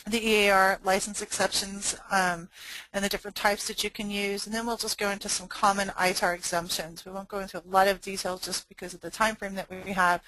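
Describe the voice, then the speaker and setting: A woman's voice: mid-range voice, a bit bright, a little hiss or hum, rough recording. A woman trade compliance consultant presenting a webinar, walking listeners through regulations step by step. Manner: explanatory, instructive.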